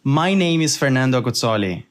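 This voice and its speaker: A man with a Brazilian accent, hosting a research podcast in earnest, measured scripted narration. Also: medium pitch, animated. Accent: Brazilian Portuguese. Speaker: podcast host.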